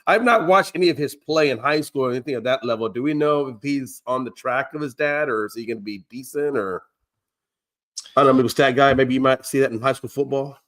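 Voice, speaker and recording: A man's voice: medium pitch, fairly quick, fairly bright tone. A man on a sports talk panel chatting over a video call, rambling and full of "I mean" and "you know". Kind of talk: casual panel chat.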